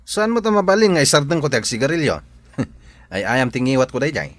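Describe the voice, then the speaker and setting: A man with an Ilocano accent playing a brash, teasing man in a radio drama, quick to retort. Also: medium pitch, very animated, fairly bright tone, dry recording. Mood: defensive.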